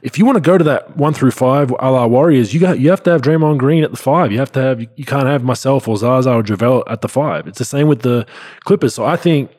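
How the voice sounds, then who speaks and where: A man co-hosting a sports podcast, a former player, breaking down the game in a measured, analytical way. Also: medium pitch, darkish tone.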